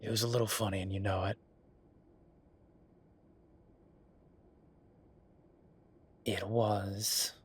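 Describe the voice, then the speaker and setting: A man's voice: on the low side, little pitch movement, brisk, slightly rough. A man playing an affectionate, teasing werewolf bureaucrat in an intimate romantic audio roleplay, speaking conversationally with murmured asides. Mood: teasing, fond. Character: Viktor, affectionate teasing male werewolf bureaucrat, weary but playful.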